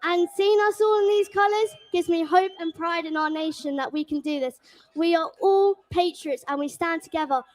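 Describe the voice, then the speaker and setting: A woman's voice: very high-pitched, moderate pace, no room sound. A woman giving a cheeky, rousing speech from an outdoor rally stage into a stage mic. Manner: cheeky, rousing, calls out to crowd. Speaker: rally speaker.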